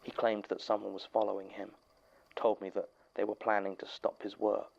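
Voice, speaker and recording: An actor playing a grave man who recounts an eerie testimony in a measured, sombre horror audio drama monologue. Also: fairly low pitch, monotone, gravelly, dull audio. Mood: grave, ominous.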